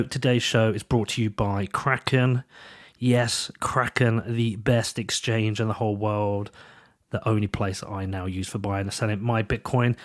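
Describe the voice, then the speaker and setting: A man hosting a podcast, talking through his intro and sponsor reads in a chatty, upbeat way while stuffy from a cold. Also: mid-range voice. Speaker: podcast host.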